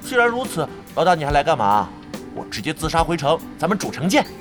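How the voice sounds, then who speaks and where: An actor playing a reckless, loyal, none-too-bright young male brawler with a bombastic, mock-heroic manner in a radio drama. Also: fairly high pitch, very animated, fast. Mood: mock-tragic. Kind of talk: radio drama comic acting. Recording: music bed behind voice.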